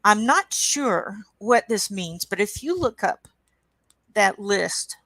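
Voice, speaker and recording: A woman with a croaky voice hosting a coloring livestream, chatting casually and reading chat aloud at an easy pace. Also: mid-range voice, big pitch swings, dry recording. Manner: slow, unhurried, reads chat aloud with soft asides. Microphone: webcam.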